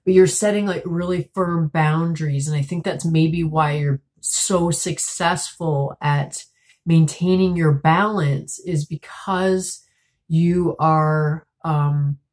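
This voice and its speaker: Woman, 30s, low voice, stuffy and a bit raspy from a cold, musing tarot reading, home room. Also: steady tone, takes it slowly. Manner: soft, musing, many fillers and restarts. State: congested from a cold, slightly raspy. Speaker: tarot reader. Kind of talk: intuitive tarot reading to camera. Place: small room at home, indoors.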